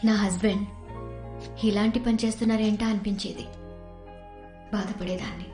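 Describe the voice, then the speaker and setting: Casting: actress as devoted young wife, earnest film-drama delivery, medium pitch, roomy sound. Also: female voice, fairly even intonation, normal speed, faint music behind, lightly acted. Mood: earnest, worried. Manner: earnest, tender. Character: devoted, anxious, proud young wife of an agent. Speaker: film actor. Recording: echoey film audio, soft background score.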